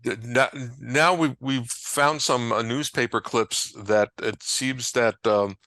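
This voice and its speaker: A man speaking as a guest researcher in casual discussion, haltingly piecing his thoughts together. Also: mid-range voice, steady tone, pushed and bright, no echo. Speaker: guest, old-time radio researcher.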